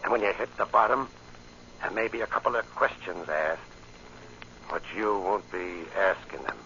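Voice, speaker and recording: A man's voice: sits low, normal speed, bright, forceful tone. An actor playing a menacing American crime boss with sneering tough-guy slang, in old-time radio drama style. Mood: cold threat. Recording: muffled, hissy old radio recording.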